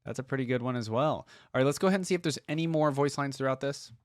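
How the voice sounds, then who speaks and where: A man's voice: medium pitch, racing. A young man giving casual reaction commentary, musing aloud in an informal way. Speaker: reaction video host.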